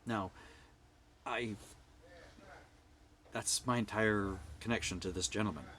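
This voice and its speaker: A middle-aged man from Canada with a loud voice, ranting bluntly to camera and swinging from hushed whispers to forceful outbursts. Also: on the low side, fairly even intonation, slow, slight background noise. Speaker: vlogger. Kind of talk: personal rant to camera.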